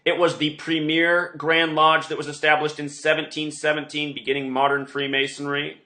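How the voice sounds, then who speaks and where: Insistent man with a bright, higher-pitched voice. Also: flat delivery, moderate pace. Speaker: online video host. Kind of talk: rambling conspiracy monologue.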